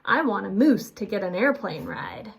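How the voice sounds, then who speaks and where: A woman voicing an eager, silly, literal-minded male moose from a children's storybook, excitable and quick to blurt things out. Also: mid-range voice, vocal fry. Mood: cheerfully insistent.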